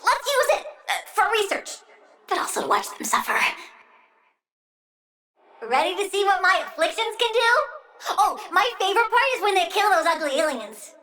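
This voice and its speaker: A woman's voice: very high-pitched, big pitch swings, moderate pace, pauses often, pushed and bright, far from the mic, exaggerated voice. A woman voicing a bratty, childlike plant-girl villain in a video game, petulant and eager, with vicious relish. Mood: gleeful, menacing. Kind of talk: video game character voice lines.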